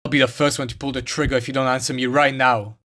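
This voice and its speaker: A man's voice: medium pitch, flat delivery, fast talker, pushed and bright, close to an everyday voice. A man acting as a protective, plain-spoken wasteland survivor in game cutscene dialogue. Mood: confrontational.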